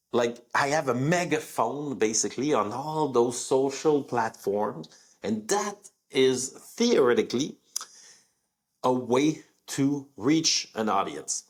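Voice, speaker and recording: A young man who speaks French as his first language, with a French accent, talking casually on his live podcast with many fillers. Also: mid-range voice. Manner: conversational, many fillers, rising emphasis on key words. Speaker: podcast host.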